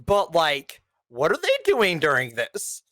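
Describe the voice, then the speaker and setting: Blunt, sweary woman with animated pitch. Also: unhurried, no echo. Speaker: podcast co-host reviewing animated films. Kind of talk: casual opinionated review chat.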